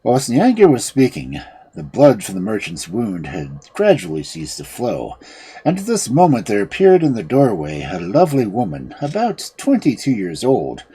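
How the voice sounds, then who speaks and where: Mellow, expressive fairy tale storyteller. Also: sits low, husky. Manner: theatrical, expressive. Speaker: storyteller and stream host. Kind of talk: dramatic fairy tale read-aloud.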